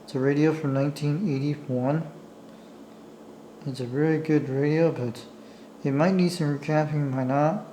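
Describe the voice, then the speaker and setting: A man giving a casual, unscripted show-and-tell in a halting, apologetic way, as a hobbyist talking while he handles his camera. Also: a little high, steady tone, dark tone, a little hiss or hum, rough recording. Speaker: amateur radio hobbyist showing a device. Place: indoors at a table. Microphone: handheld camera's own microphone.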